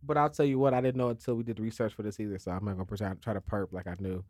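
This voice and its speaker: A man co-hosting a podcast in a studio, chatting casually, joking and laughing often in quick banter. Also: lowish voice, level tone, darkish tone, no echo. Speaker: podcast co-host. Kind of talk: casual chat.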